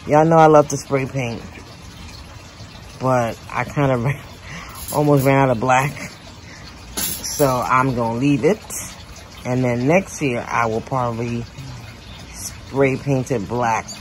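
Slow-talking woman on a backyard tour. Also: extremely low pitch. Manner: chatty, talks straight to viewers with lively exclamations. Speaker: home renovation vlogger. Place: outdoor backyard patio and garden. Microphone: handheld phone camera. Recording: outdoor ambient noise.

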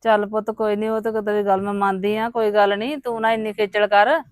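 A woman's voice: medium pitch, steady tone, a little fast, dry recording, clean studio sound, natural voice. An actress playing a weary mother-in-law in a family drama, scolding her daughters-in-law and complaining of knee pain. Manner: scolding, then gentle.

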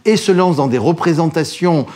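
A man's voice: a little high, lively intonation, normal speed, a little mellow. A man moderating a panel discussion on an art show as its host. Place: TV studio.

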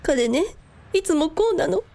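A woman's voice: extremely high pitch, expressive, measured pace, creaky, mellow, heavily acted. A woman playing an easygoing eldest sister who puts on a brave face, in a radio drama. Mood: wistful.

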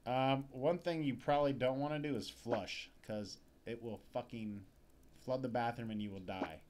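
A man's voice: mid-range voice, moderate pace, darkish tone. A man doing a casual, sardonic, sweary playthrough, reading game text aloud. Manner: foul-mouthed, sardonic. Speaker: video game let's player. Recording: game music behind the voice. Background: video game music.

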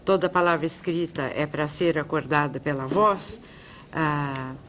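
A woman's voice: low voice, measured pace, fairly bright tone, a little hiss or hum. Older woman, articulate interviewer. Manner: articulate, speaks in long flowing sentences. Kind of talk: studio interview panel. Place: television studio. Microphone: lapel mic.

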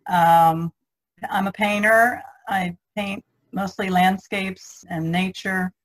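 A woman's voice: mid-range voice, steady tone, slow, rough recording. An American woman chatting casually on a video call, a little shy and giggly. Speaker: painter, podcast participant.

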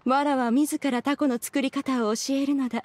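A woman's voice: high voice, fairly even intonation, moderate pace, heavy background noise, lightly acted. A woman voicing a haughty ancient female immortal in a video game, speaking in an archaic, imperious, formal manner. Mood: proud, dignified.

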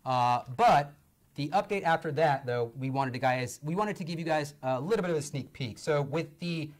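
Young male stream host, a bit hoarse, upbeat, lapel mic in a studio. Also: on the high side, animated, moderate pace. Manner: upbeat, filler-heavy presenting. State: hoarse from long talking. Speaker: livestream host. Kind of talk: casual game-show chat. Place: indoor studio set.

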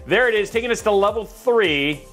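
A man's voice: high voice, lively intonation, moderate pace, fairly bright tone, faint music behind. A man from Texas hosting a casual livestream, reading chat and joking with viewers, rambling and restarting his sentences.

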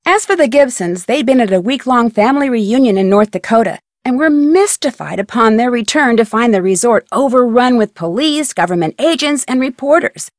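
A clear-headed young woman detective , played by an actress narrates a video game story in a slightly high voice, recorded very dry. Her storytelling is measured and articulate. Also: animated, no echo, natural voice. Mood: composed, recounting. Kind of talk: scripted first-person game narration.

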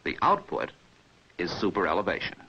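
An American man reading a scripted 1950s training film narration in a measured, precise, didactic manner, as heard on an old film soundtrack. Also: sits low, huge pitch swings, bright, forceful tone, highs cut off, slight background noise.

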